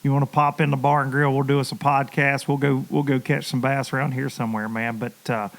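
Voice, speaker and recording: A man's voice: mid-range voice, level tone, a little fast, creaky. A man from Tennessee, hosting a fishing podcast in a folksy, joking way. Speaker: podcast host. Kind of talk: casual podcast chat.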